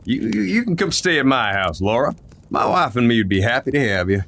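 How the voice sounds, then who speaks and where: A man's voice: mid-range voice, very animated, a little fast, gravelly, fairly bright tone. A man playing a friendly, loyal Old West sheriff in a radio drama, with a folksy drawl full of frontier slang. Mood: hearty, friendly. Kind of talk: old-time western radio play acting.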